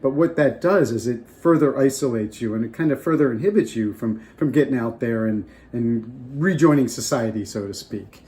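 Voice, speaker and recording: An older man gives advice straight to his viewers, talking off the top of his head. His voice is mellow and relaxed, a little off from a lingering cold, and he circles back and restarts his sentences often. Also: medium pitch, moderate pace, few pauses. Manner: rambling, restarts often, off the cuff. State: getting over a cold. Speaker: vlogger. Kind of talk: casual advice talk to camera.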